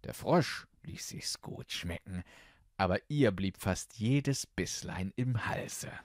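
A man's voice: deep voice, lively intonation, takes it slowly, dry recording, clean studio sound. A man reading a fairy tale as a scripted audiobook narrator.

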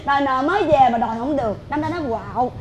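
Shrill southern Vietnamese mother-in-law. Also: lively intonation, quick pace. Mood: placating, chatty. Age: middle-aged. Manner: theatrical, exclaiming, repeats herself for emphasis. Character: brash, boastful, scolding middle-aged mother-in-law. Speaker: comic stage actress. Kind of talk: broad stage comedy acting. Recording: live stage with loud audience.

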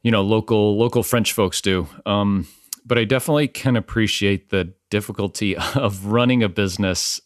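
Chatty low-voiced man hosting a podcast. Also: normal speed, no echo, clean studio sound. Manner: chatty, lots of fillers and restarts. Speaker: podcast host. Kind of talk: casual conversational interview. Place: home in a small village.

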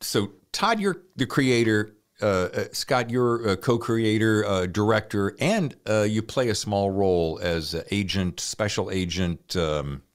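Male interview host, fairly low voice, casual banter. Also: unhurried, few pauses. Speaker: podcast host, voiceover actor.

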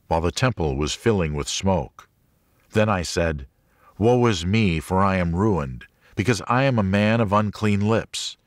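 Male narrator for religious audiobook: low register, measured and articulate reading, dry studio-like sound. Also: no room sound. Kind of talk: scripted audiobook narration of a religious teaching book.

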